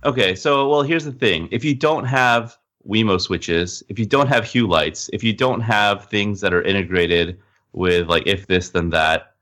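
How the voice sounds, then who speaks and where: A man with a low-pitched voice speaks as a podcast co-host. He explains things informally and step by step, in relaxed everyday conversational phrasing. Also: measured pace. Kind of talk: casual explanatory tech chat.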